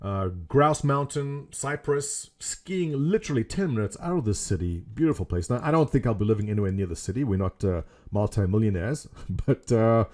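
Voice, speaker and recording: A middle-aged man with a South African accent talks casually to his gaming community from a small room at home. His voice is mellow and warm, and he rambles from one topic to the next with jokes at his own expense. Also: mid-range voice. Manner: rambling, jokey, self-mocking. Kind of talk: casual webcam vlog.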